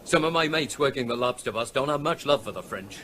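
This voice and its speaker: A working-class seafront trader in a radio play. He is blunt and suspicious, with a forceful, bright voice over faint background noise. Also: mid-range voice, animated, a little fast. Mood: needling, suspicious. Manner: blunt, needling, slangy. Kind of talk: radio drama acting.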